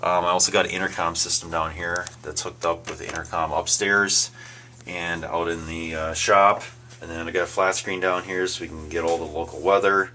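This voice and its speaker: A man's voice: low-pitched, level tone, normal speed, pushed and bright. An American man giving a casual, unscripted home show-and-tell of his gadgets, thinking aloud in a hesitant way. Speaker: amateur video maker showing his gadgets. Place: indoors at home and in a workshop. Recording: noisy amateur home video with handling clicks.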